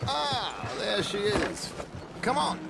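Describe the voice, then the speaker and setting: An actor playing a flamboyant, scheming gentleman con artist in a video game, with a theatrical, grandiose, coaxing delivery. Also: sits high, huge pitch swings, slow pace, gravelly, heavy background noise, exaggerated voice. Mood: assured, scheming. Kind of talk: video game cutscene acting.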